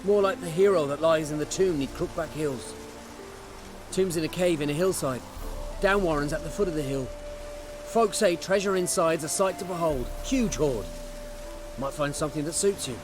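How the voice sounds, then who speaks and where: Rustic game peasant, male actor: lightish high voice, humble, folksy, earnest, music behind. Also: moderate pace, close to an everyday voice. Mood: grateful, earnest. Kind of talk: video game voice acting.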